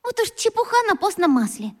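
A woman acting a warm-hearted, impulsive schoolgirl in a children's radio play, talking in an emphatic, colloquial way. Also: extremely high pitch, sing-song, a little fast. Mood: breezy, reassuring.

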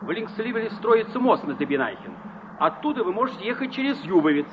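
A woman's voice: mid-range voice, big pitch swings, fast, natural voice. An actress playing a helpful local woman in an old Soviet radio play, matter-of-fact and obliging. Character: helpful local woman giving a stranger directions. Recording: noisy, dull old radio play recording.

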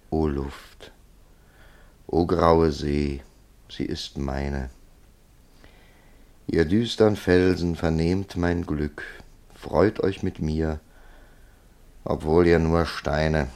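A world-weary writer telling his story in a radio play. His voice is very low and gravelly, and he takes his time with a dry, ironic, literary delivery, recorded close and dry. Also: darkish tone, no room sound, full character voice. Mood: declaiming verse. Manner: measured, wry, literary storytelling. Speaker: narrator. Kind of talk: German literary radio drama narration.

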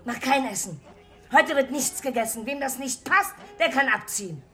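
An actress playing a bitter, furious shopkeeper's wife, scolding and insulting in a theatrical radio drama manner. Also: female voice, sits high, animated, normal speed, sharp tone, faint music behind. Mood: bitter, scornful. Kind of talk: German radio drama acting.

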